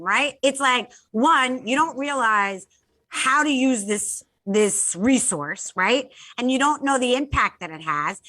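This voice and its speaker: A woman's voice: a little high, bright, forceful tone, rough recording. An American woman co-hosting a casual opinion discussion, questioning and insistent, talking in a colloquial way. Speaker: talk show co-host.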